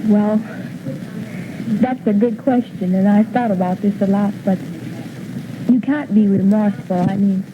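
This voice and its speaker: A woman's voice: mid-range voice, measured pace, pauses often. Reflective woman on tinny, noisy newsfilm. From United States. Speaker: interviewee. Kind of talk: reflective news interview answers. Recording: tinny old newsfilm, clear noise.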